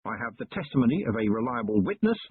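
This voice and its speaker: Male reader as a gruff middle-aged watchman, husky voice, thin lo-fi sound. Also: mid-range voice, sing-song, fast talker. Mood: low, guarded. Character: harried middle-aged watchman husband, blunt.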